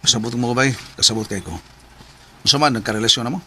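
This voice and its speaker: A man in a Bisaya radio drama playing a brisk fellow who prods the person he talks with. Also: mid-range voice, pushed and bright, slight background noise. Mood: casual, prodding.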